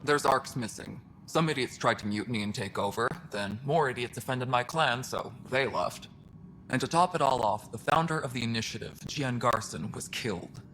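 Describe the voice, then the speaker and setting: An actor playing a world-weary, blunt alien supervisor in a video game, with faint noise behind him. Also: male voice, mid-range voice, normal speed, fairly bright tone, lightly acted, poor audio. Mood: weary, bitter. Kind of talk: video game dialogue acting.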